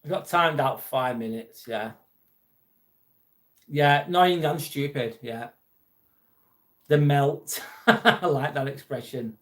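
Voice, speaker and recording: A man's voice: medium pitch, slow, long pauses, fairly bright tone. An English man hosting a live stream, chatting casually, reading out viewer comments and joking about a troll. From England. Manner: chatty and jokey, mildly irritated by a troll.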